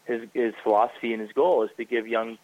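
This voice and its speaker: A man's voice: mid-range voice, fairly even intonation, fast talker, no echo. A man hosting a casual radio chat, rambling through long thoughts with many fillers and restarts. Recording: dry, tinny narrow-band sound.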